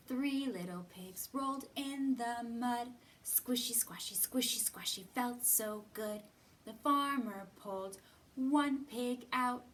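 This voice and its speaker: A woman with a fairly high voice leads a children's storytime at a very slow pace. She is expressive and sing-song, drawing out words as she reads and counts for little listeners. She sounds a little away from the microphone. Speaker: children's librarian hosting storytime. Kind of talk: expressive read-aloud and counting for young children. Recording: somewhat distant from the mic.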